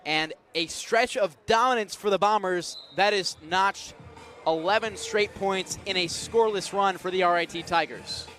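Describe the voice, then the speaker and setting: A young man giving an energetic, descriptive live play-by-play of a basketball game for radio. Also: high voice. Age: young adult. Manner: energetic, descriptive call of the action. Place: college basketball arena, live courtside call.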